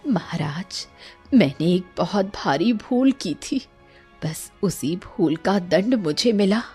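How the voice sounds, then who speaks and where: A woman voicing a gentle, earnest queen in a cartoon fairy tale, with an apologetic, pleading manner. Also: mid-range voice, lively intonation, slow pace, breathy edge, faint music behind, full character voice. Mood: regretful.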